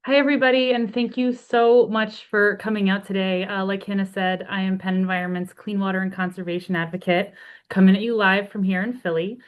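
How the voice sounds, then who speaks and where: A woman, a conservation advocate, giving a friendly webinar presentation over a video call, slightly hoarse from a cold, talking freely with many ums and uhs. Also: medium pitch, fairly even intonation, brisk.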